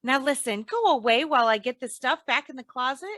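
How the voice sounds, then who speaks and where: Woman playing bright, animated, wry radio wife. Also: sits high, a little fast, dry recording. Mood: wry, fond. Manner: dry, teasing comebacks with homely endearments. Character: shrewd, long-suffering, affectionate radio-comedy wife with dry wit. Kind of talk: 1940s radio comedy acting.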